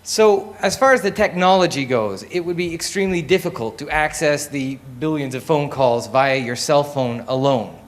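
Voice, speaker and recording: A man leading a live project pitch as main presenter, speaking in a conversational way. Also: expressive, moderate pace, few pauses. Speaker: lead presenter.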